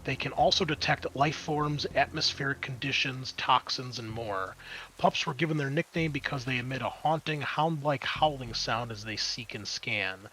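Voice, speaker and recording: A man with a bright, forward voice runs a tabletop roleplaying session as game master. He explains and narrates in a casual, conversational manner. There is clear background noise behind him. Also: medium pitch, normal speed, low-quality recording.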